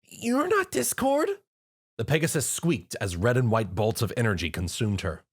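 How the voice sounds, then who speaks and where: Guy narrating a fanfic, husky, super animated. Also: fairly low pitch, brisk. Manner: lively, expressive narration. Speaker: reader. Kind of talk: fan fiction reading.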